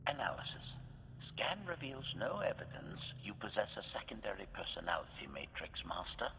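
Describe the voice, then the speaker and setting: A man's voice: fairly low pitch, very animated, moderate pace, vocal fry, in a large hall, background noise. An actor playing a fussy male droid who reports scan results in a precise, bluntly analytical way.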